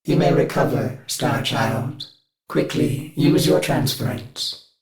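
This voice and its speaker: An actor playing a cold, formal upgraded male ship AI in a video game, measured and controlled. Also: medium pitch, creaky, roomy sound, noisy background. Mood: instructing. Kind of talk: video game companion dialogue.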